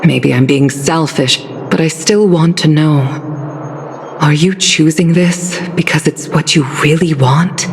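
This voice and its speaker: An actress playing a middle-aged woman commander and pilot who speaks calmly and with care in a game cutscene. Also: low voice, a little slow. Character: seasoned woman commander and pilot, steady and protective. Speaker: game character. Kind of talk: dubbed game cutscene acting. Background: loud game music.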